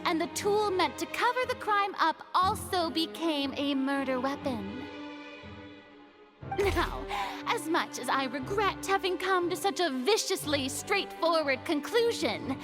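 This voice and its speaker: An actress playing a haughty, theatrical young woman in a video game, delivering pompous, dramatic, drawn-out speech. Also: very high voice, animated, over music. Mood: smug, theatrical.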